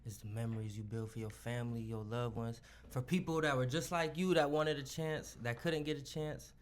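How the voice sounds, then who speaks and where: A man's voice: mid-range voice, level tone, fairly quick, slight background noise. A man from Las Vegas, a rapper being interviewed, speaking earnestly and reflectively in laid-back slang. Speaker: rapper guest. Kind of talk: casual chat.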